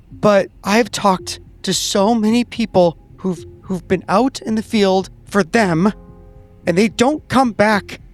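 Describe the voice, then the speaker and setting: A player voicing a frightened secret agent in a horror tabletop game, halting and repeating words. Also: mid-range voice, close to an everyday voice. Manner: halting, repetitive, slow.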